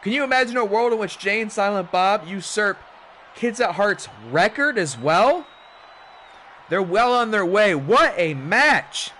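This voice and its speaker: A hoarse man commentating a wrestling video game on a stream, with animated, chatty hype. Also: high voice, unhurried, a little hiss or hum. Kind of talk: play-by-play commentary.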